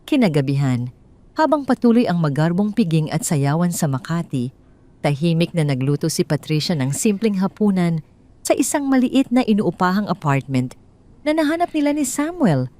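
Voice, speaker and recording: A woman's voice: fairly low pitch, big pitch swings, normal speed, warm tone. A woman with a Filipino accent narrating a scripted dramatic story.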